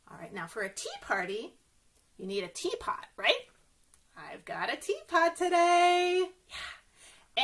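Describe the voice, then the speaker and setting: A woman doing a children's story time, animated and sing-song, speaking straight to young listeners and asking them questions. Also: a little high. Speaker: children's librarian reading stories to kids.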